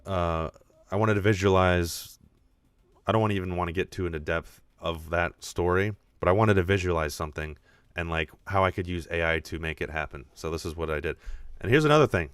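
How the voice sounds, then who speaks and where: Deep-voiced male podcast host, excitable, restarts often, low pitch, dry close sound. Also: fairly quick, clean tone, no room sound. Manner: excitable, thinks aloud with frequent restarts. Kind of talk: casual video podcast commentary.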